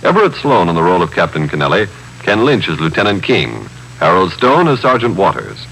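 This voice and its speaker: A man's voice: medium pitch, fairly bright tone, rough recording. An American man announcing in a formal, scripted 1950s radio style.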